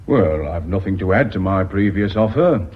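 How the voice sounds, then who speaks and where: An actor playing a blunt, contemptuous businessman in a radio drama, with a husky voice. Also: low voice, lively intonation, moderate pace, slight background noise. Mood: brusque, domineering. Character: blunt, contemptuous, hard-bitten Northern businessman. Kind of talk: British radio drama acting. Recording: dull, reverberant radio drama recording.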